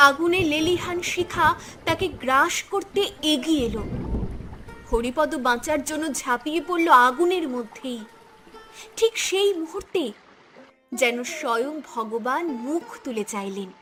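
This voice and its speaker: A woman narrator reading a scripted cartoon story in a dramatic storytelling style. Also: sits high.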